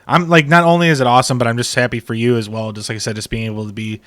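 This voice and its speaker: A man's voice: mid-range voice, steady tone, racing, fairly bright tone, clear, resonant tone, dry recording. A man hosting a casual interview, talking in an informal, slangy and encouraging way. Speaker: podcast host.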